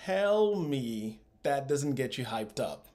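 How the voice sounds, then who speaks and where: A man in his thirties speaks to camera as the host of an investing channel. His pitch sits in a middle range, and his scripted delivery is upbeat, with emphatic phrasing. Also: very animated, slow pace, over music. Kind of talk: scripted video commentary to camera. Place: small room at home, indoors.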